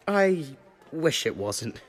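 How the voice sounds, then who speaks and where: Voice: mid-range voice, big pitch swings, takes it slowly, a faint crowd. An actor playing a friendly, outgoing trainee eager for company, in casual naturalistic audio drama dialogue. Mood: friendly, wry.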